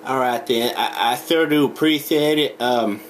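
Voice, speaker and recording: A young man making a deadpan prank phone call, halting, stammering and rambling as he talks in a home room. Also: mid-range voice. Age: young adult. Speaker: prank caller. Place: small indoor home room. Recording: noisy webcam recording.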